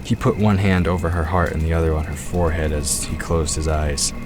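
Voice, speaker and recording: A man's voice: rumbling low, level tone, a little fast, over music. A man narrating a scripted fantasy story as the narrator of an audio drama.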